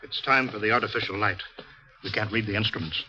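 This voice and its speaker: A lo-fi vintage radio recording of an agitated doctor. He is very quick and shaken, with a tense, bright edge in classic fifties radio-play style. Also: lowish voice, slight background noise. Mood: urgent. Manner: rushed, shaken, stammering. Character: frightened, agitated male doctor bringing dire news. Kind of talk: 1950s radio drama acting. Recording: dull lo-fi 1950s radio recording.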